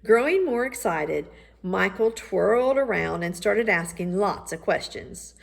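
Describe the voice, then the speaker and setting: A woman reading a children's storybook aloud as an expressive narrator. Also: medium pitch, measured pace, gravelly. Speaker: audiobook reader.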